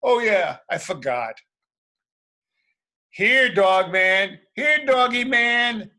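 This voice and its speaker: An excitable storybook cop, as a man reading aloud puts him on, calling out and scolding in exasperation. The voice is bright, forceful and fairly high. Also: extremely slow, heavily acted. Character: exasperated, excitable cartoon cops and townsfolk.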